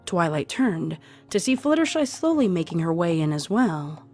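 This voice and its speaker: A woman's voice: fairly low pitch, very animated, moderate pace, a little mellow, soft background music. A woman narrator reading a scripted story.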